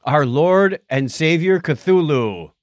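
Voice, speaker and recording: A man's voice: on the high side, animated, slow pace, dry recording, clean studio sound. An American man co-hosting a podcast, chatting casually in a playful, joking way. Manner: jokey, playful. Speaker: podcast co-host. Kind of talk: casual comedic chat.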